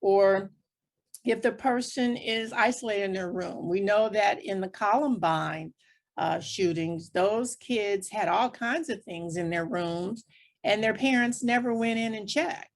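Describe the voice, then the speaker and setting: A woman's voice: medium pitch, measured pace. A woman speaking as a panelist, explaining things point by point in plain, conversational terms. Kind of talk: explanatory panel discussion talk.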